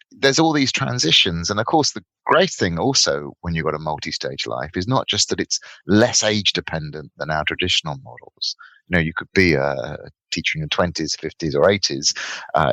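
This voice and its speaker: A middle-aged British man with a very deep voice takes part in a panel discussion. He explains his thinking reflectively, setting out lists and examples as an expert guest would. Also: pushed and bright. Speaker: economist and author, panel guest.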